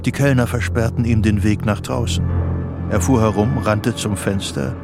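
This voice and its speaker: An actor narrating a psychological thriller radio play as a sober storyteller. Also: male voice, on the low side, steady tone, moderate pace, creaky, over loud music. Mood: sober, suspenseful. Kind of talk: scripted literary narration.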